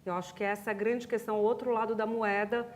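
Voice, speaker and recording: A woman's voice: mid-range voice, level tone, fast. A woman with a Brazilian accent speaking as a panelist in a discussion.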